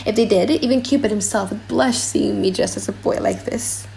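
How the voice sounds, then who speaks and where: A woman's voice: mid-range voice, normal speed, gravelly, a little mellow, lightly acted. A woman playing a bashful young woman in love in a dramatised audiobook. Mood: embarrassed. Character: shy young woman in love, disguised as boy.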